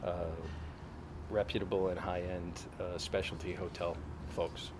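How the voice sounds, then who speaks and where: A man answering reporters' questions at a press event, halting and full of fillers and restarts. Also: sits low, flat delivery, noisy background. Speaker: casino developer spokesman.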